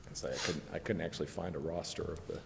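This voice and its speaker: A man's voice: deep voice, fairly even intonation, quick pace, creaky. An American man, a lawyer advising a council, explaining informally with a hedging, deferential manner. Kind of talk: informal explanation at public meeting. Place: large indoor council chamber. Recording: very echoey noisy chamber.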